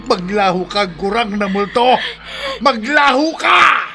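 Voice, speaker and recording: Domineering, high-voiced husband. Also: male voice, lively intonation, slow pace, gravelly, heavy background noise, heavily acted. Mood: frightened, defiant. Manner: emphatic, domineering. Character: defensive, domineering husband hiding a crime. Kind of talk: radio horror drama acting.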